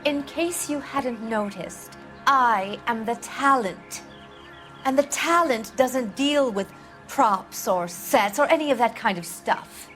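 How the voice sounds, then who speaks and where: A woman's voice: fairly high pitch, lively intonation, takes it slowly, a bit bright, soft background music. An actress playing a proud, sarcastic female movie star who sounds drowsy and drifts into whispers. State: drowsy, drugged. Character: proud, sardonic female film star, drugged.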